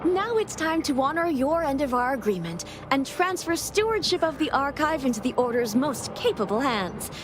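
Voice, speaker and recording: A woman's voice: high-pitched, big pitch swings, normal speed, hardly any pauses, noisy background. A woman voicing a poised, courteous female scientist in a game. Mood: pleased. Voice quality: polished, courteous.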